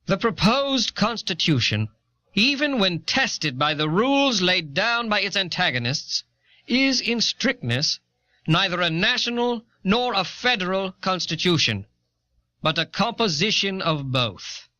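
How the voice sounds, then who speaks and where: Argumentative founding-era statesman; high, bright, deliberate declamation in a documentary. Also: sing-song, exaggerated voice. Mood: emphatic. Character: formal, argumentative eighteenth-century American statesman quoted in documentary. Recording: dull, dry cassette audio.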